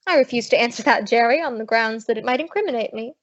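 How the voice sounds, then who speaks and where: A woman's voice: fairly high pitch, muffled recording, close to an everyday voice. A woman playing a sassy, wisecracking, take-charge young heroine in an old-time radio comedy. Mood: wry. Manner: wry, brisk, take-charge.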